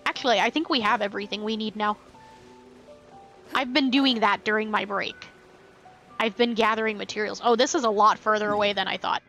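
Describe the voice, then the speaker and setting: A woman's voice: medium pitch, steady tone, fairly quick, fairly bright tone, soft background music, low-quality recording. A woman chatting casually and playfully as she commentates on a live game stream.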